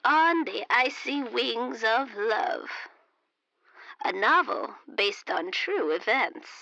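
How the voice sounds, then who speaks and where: Elderly lady author, cheeky, genteel, leisurely pace, bright tone, comedy podcast drama. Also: fairly high pitch, animated, slow pace, vocal fry, dry recording, lo-fi sound, exaggerated voice. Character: genteel elderly female romance novelist, cheeky and dotty.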